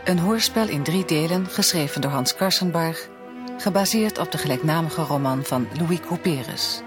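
A woman's voice: sits low, normal speed, over music. A woman announcing the credits of a radio drama in a scripted style.

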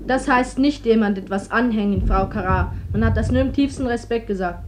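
A woman's voice: fairly high pitch, fairly quick, background noise, close to an everyday voice. A young woman playing a fiery, scornful Spanish militia girl in a German radio play, accusing and biting. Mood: bitter, cutting. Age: young adult.

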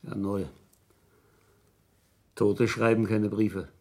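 An actor playing a shrewd, insistent police inspector in a 1970s German radio crime drama, questioning deliberately and sceptically. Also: male voice, lowish voice, steady tone, darkish tone, soft background music, exaggerated voice. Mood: methodical, accusing.

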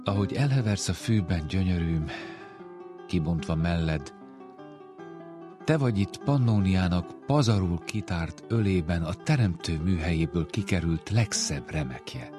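A man recites verse in a literary style. Plucked guitar music is clearly heard behind him. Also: low-pitched, lively intonation. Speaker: reader of poetry.

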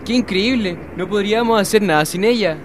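A male actor playing an eager, excitable teenage son in a scripted educational drama. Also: sits high, fairly quick, noisy background, lightly acted.

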